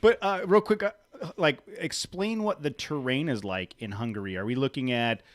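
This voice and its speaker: A man's voice: mid-range voice, normal speed, crowd noise. An American man hosting a live-stream podcast, chatty and jokey, laughing often as he talks with his guest. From United States. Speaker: podcast host. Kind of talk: casual live-stream chat.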